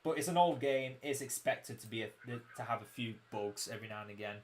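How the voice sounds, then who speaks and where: A young man commentating casually on his own game livestream, his voice croaky, chatty and self-mocking as he explains the mechanics. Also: mid-range voice, a little fast, slight background noise. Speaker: game streamer.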